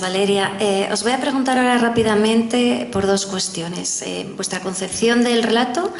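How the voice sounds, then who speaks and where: A woman moderates a literary panel, briskly lining up the questions. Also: mid-range voice, vocal fry. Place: lecture hall. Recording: reverberant hall with faint noise.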